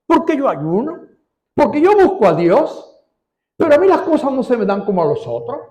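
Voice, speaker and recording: Preaching man, high voice, drawn-out emphatic words, echoey church room. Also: very animated, a little mellow. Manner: preaching, drawing out key words slowly and rising for emphasis. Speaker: pastor. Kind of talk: sermon.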